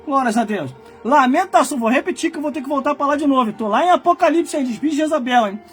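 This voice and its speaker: Brazilian pastor guy in his 40s, really high voice, yells his sermon then drops to mumbling, soft music behind. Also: expressive, fast. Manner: swings from low muttering asides to high shouted preaching. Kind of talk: fiery Pentecostal-style sermon.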